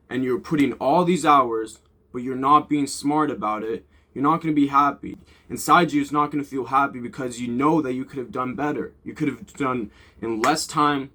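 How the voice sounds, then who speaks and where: A young adult man speaks as a motivational vlogger in a medium-pitched voice. His delivery is informal and unscripted, wandering from point to point. He is blunt and swears casually as he gives self-improvement advice. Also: fairly bright tone.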